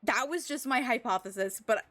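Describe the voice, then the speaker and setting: A woman's voice: on the high side, normal speed, a bit bright. A woman chatting casually on a live game stream, musing aloud to herself and her viewers.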